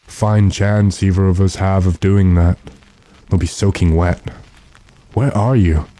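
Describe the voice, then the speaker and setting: An actor playing a stranded gentleman in an old-time radio drama, commanding and dryly unruffled. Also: low-pitched, fairly even intonation, unhurried. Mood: focused.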